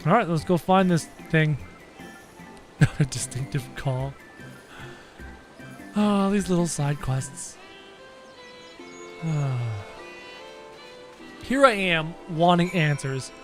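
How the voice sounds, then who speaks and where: A man's voice: a little high, expressive, takes it slowly, pauses often, a bit bright, faint music behind. A man livestreaming a video game with casual, joking, exclamatory commentary, thinking aloud as he chats with viewers. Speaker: live game streamer.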